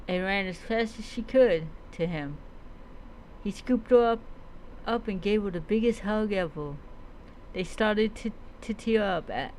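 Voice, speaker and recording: Slow, halting woman storyteller over noise. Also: mid-range voice, darkish tone, low-quality recording. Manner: halting reading, often stumbles and repeats words. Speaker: role-play storyteller. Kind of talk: first-person story narration, read aloud.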